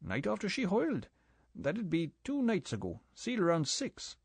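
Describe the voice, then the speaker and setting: A man's voice: sits high, huge pitch swings, moderate pace, creaky, slightly rough, dry recording, pitched above the performer's own voice, heavily acted. A man voicing a gossipy old island boatman, chatty and drawling in broken grammar.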